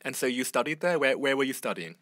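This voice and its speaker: A man hosts a conversational interview in a bright, forward voice of medium pitch. The recording is close and very dry, with hardly any room in it. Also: lively intonation, fast talker, no echo. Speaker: podcast host, interviewer.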